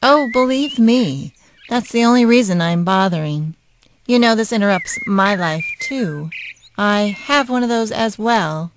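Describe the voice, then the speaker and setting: A woman's voice: mid-range voice, lively intonation, a little slow, slight background noise, lightly acted. A woman playing an irritable, cutting divorced mother in an audio drama, curt and scolding. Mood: resentful. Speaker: voice actor.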